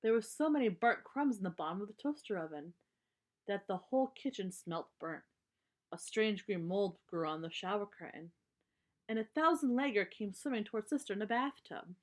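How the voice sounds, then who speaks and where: A woman reads a children's storybook aloud in a medium-pitched voice. Her delivery is a little halting, and she now and then goes back to correct a word. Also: expressive. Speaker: storybook reader.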